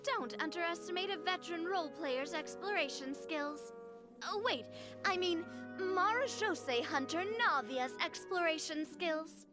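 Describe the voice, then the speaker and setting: A woman's voice: very high-pitched, a little slow, over music. An actress voicing a cheerful, self-assured young woman with a teasing, breezy manner, in a video game. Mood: playful. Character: cheerful young woman, confident playful role-player.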